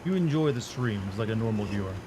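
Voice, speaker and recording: A man live-streaming a horror game, reacting loudly with swearing when he dies and casually thanking viewers in between. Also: lowish voice, expressive, brisk, noisy background.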